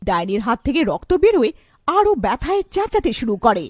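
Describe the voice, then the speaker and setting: A woman reading scripted narration for a children's cartoon story in an animated storytelling style. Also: fairly high pitch, a little fast, dry recording, clean studio sound.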